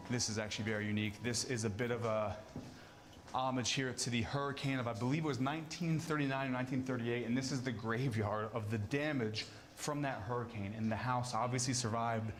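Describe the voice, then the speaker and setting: A man's voice: mid-range voice, a little hiss or hum. A man giving an informal guided tour, explaining what is on display in an explanatory, conversational way. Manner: explanatory, inviting listeners to imagine.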